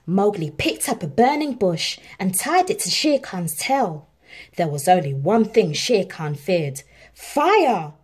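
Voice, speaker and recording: A woman narrating a children's storybook in an expressive, measured read-aloud style. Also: medium pitch, slightly rough.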